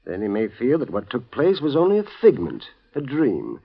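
A husky, soothing radio doctor. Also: male voice, medium pitch, normal speed, heavily acted. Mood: explaining. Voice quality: husky, lively, soothing bedside manner. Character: smooth-talking male psychiatrist, calm, fussy. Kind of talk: 1950s radio drama acting. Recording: dull old radio broadcast recording.

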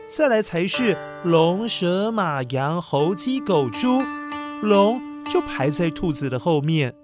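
A woman telling a scripted story to young children, speaking expressively and addressing them directly. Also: mid-range voice.

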